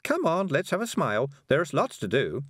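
A man's voice: medium pitch, very animated, normal speed, no room sound, studio quality, voice pushed up, close to an everyday voice. A man voicing a kindly, fretful, busy woman witch from a storybook, in a fussy, earnest manner. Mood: cheerful.

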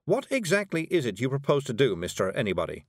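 A man voicing a prim, polite older witch who speaks slowly and carefully. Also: mid-range voice, wildly swooping pitch, no room sound, studio quality. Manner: slow, careful, genteel.

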